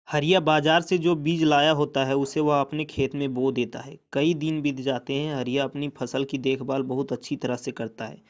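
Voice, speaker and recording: A man reads a scripted moral tale as narrator. His voice is of medium pitch, and he tells it as a storyteller for children would.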